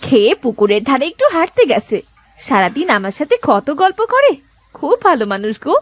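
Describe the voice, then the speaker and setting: A woman voicing a sharp-tongued but caring village wife in a cartoon, with expressive domestic-drama delivery. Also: high voice, fairly quick, dry recording. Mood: caring, friendly. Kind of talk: Bengali moral-story cartoon dubbing.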